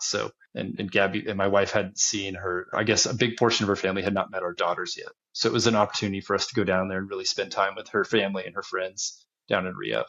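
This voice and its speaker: A man in his thirties, a podcast guest, talking casually about his business and travels, relaxed and often chuckling. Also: on the low side, gravelly, highs cut off. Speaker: podcast guest, land investor. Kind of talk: casual interview talk.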